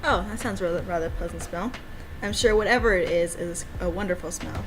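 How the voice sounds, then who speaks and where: A woman voicing a courteous, wistful male visual-novel character in a formal, measured, hesitant way. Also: on the low side, background noise, natural voice. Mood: polite, musing.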